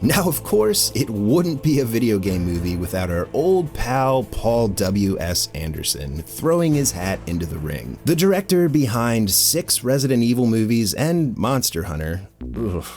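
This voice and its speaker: A man's voice: lowish voice, big pitch swings, a little slow, a little mellow, soft background music. A man delivering scripted video essay narration with casual asides in a wry, informal manner.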